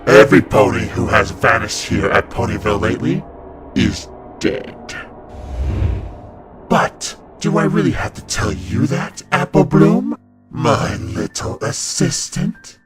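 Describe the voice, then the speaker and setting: A man's voice: on the low side, sing-song, a little slow, over music. A man playing an evil ancient winged serpent spirit in a cartoon comic dub, gloating and mocking with theatrical delivery. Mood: taunting.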